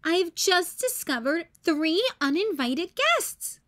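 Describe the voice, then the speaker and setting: A woman's voice: very high voice, big pitch swings, slow, a bit bright, pitched above the performer's own voice, heavily acted. A woman reading a storybook as a bossy, puzzled young big-sister bunny, in an emphatic, exclaiming way. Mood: surprised. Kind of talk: children's storybook read-aloud.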